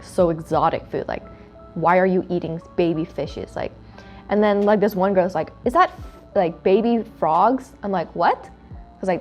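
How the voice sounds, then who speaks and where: A young woman who grew up speaking Korean hosts a relaxed student chat, her speech full of "like" as she tells stories. Her voice sits at a middle pitch, with faint music under it. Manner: storytelling, frequent "like" fillers. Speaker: student club podcast host. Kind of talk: casual chat.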